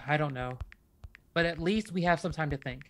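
An actor playing a weary, practical husband in a radio play, casual and resigned. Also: male voice, fairly high pitch, unhurried, a little hiss or hum, natural voice. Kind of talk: naturalistic radio play acting.

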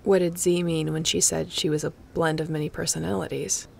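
A woman playing a guarded, wry spaceship captain in an intimate sci-fi audio roleplay, with a gravelly voice and dry, teasing, conversational delivery. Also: sits low, level tone, fairly quick, a little mellow, slight background noise. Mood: reflective storytelling. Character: guarded, wry female spaceship pilot captain, softening toward an old friend.

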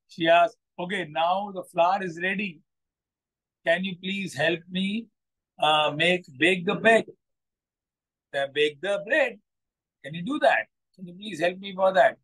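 A middle-aged man with an Indian accent, a coach telling a moral story off the cuff in a deliberate way, often repeating his phrases. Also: a little high, fairly bright tone, low-quality recording. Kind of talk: unscripted moral storytelling to a group. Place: open balcony or corridor of a building.

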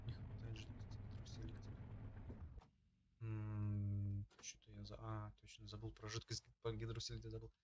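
A man's voice: lowish voice, completely flat delivery, moderate pace, background noise. A man giving casual let's-play commentary as a gaming host, talking informally and slangily as he thinks aloud. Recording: dull lo-fi microphone sound.

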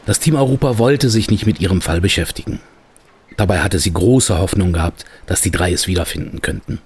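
A man narrating a youth detective audio drama in a gravelly, husky storyteller's voice. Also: fairly low pitch, darkish tone, exaggerated voice.

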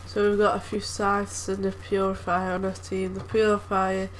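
A woman talking casually over gameplay, soft-spoken and hesitant, with lots of ums and groans. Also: mid-range voice, monotone, normal speed. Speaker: gameplay commentator. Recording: loud background noise from the game. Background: game sounds.

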